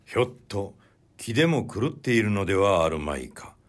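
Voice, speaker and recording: Male audiobook narrator, lowish pitch, deliberate and measured delivery of literary prose. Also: sing-song.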